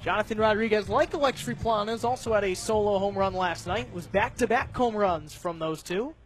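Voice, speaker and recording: A man giving live baseball radio play-by-play commentary, narrating each pitch in measured detail. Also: soft background music. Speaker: play-by-play announcer.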